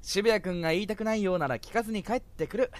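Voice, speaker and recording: A young man acting a breezy, easygoing, nosy older high school boy in an audio drama. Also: quick pace, dry recording, lightly acted.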